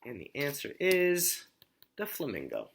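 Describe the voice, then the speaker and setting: Slow, animated man reading to children. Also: fairly high pitch, gravelly. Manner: expressive, pausing to explain and question. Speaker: teacher reading aloud. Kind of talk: children's storybook read-aloud with questions.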